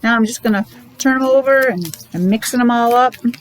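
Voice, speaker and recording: A woman's voice: on the high side, slight background noise. A woman preschool teacher leading a counting lesson for young children, encouraging and asking lots of questions. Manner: encouraging, asks questions with pauses.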